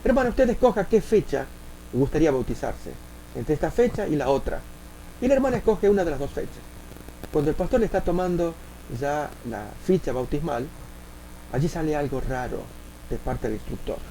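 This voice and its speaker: Argentine pastor guy, raised voice, pauses a lot, tells stories and does the people's voices. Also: fairly high pitch, animated, dark tone, a little hiss or hum, poor audio. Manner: many filler pauses, acts out quoted voices. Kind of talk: sermon with spoken anecdotes.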